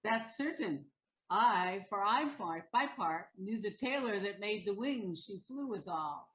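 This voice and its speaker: A woman playing a wry, sharp-tongued Venetian townsperson in a Shakespearean audio play, speaking in a stagey, declamatory way. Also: medium pitch, lively intonation, unhurried, poor audio. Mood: mocking.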